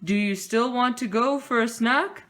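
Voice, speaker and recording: A woman's voice: fairly high pitch, a little slow, a bit bright. A woman voicing a fond, supportive father bear from a children's storybook, earnest and encouraging. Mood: easygoing.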